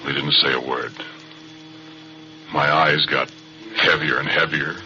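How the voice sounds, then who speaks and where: An actor playing a spooked, plain-spoken oil-field worker in a 1940s radio drama, speaking folksy and rough. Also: male voice, extremely low pitch, fairly even intonation, measured pace, noisy background. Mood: haunted, uneasy. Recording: dull 1940s radio broadcast. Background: music.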